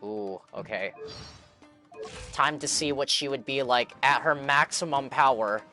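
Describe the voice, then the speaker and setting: A man's voice: mid-range voice, measured pace, bright, forceful tone. A young man giving casual live commentary while streaming a game and chatting with viewers. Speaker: game livestreamer. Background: video game music.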